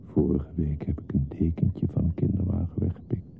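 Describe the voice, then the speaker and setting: A man's voice: flat delivery, moderate pace, creaky. An actor playing a lonely, disturbed man who longs to be a baby, in a hesitant, confiding, often near-whispered radio play monologue. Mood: wistful, resigned. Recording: muffled lo-fi recording with hiss and rumble.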